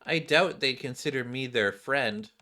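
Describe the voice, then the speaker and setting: A man's voice: mid-range voice, unhurried, bright, forceful tone. A man voicing a shy, earnest schoolgirl in a video game story, speaking in a hesitant, questioning way. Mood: unsure.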